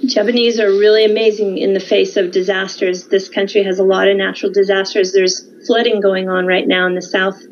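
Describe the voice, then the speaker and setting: A woman talks in a measured, informative way, pausing often with um and uh as she explains. Her voice sits at a middle pitch and comes over a dull, lo-fi remote line with a faint hiss behind it. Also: level tone. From California, United States. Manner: measured, informative, with frequent um and uh pauses. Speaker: guest. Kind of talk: conversational interview.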